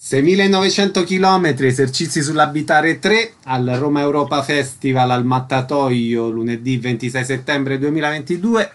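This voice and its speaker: A man presenting and asking questions as a radio interview host. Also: mid-range voice.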